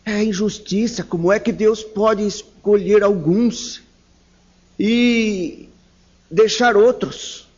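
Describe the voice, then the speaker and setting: Husky paulista pastor, slow emphatic sermon, dull lofi sound. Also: male voice, high voice, darkish tone. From São Paulo, Brazil. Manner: slow, emphatic preaching with fervent rises on key words. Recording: dull lo-fi recording.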